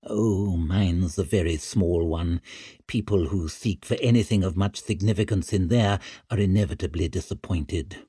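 A man voicing a cold, sardonic male inquisitor in an audiobook, with a dry, deadpan, menacing manner. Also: sits low, moderate pace, breathy edge, no room sound, voice pushed down. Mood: dry menace.

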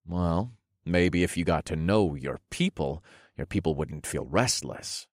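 A man's voice: deep voice, expressive, unhurried, dry recording, clean studio sound. A man voicing a brash, casual fantasy hero with cheeky modern phrasing in an audiobook.

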